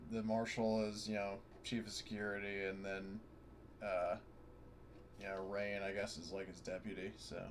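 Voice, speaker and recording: Low-voiced man thinking aloud, noisy mic. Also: flat delivery, normal speed. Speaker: player in a tabletop roleplaying game. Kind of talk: casual game chat.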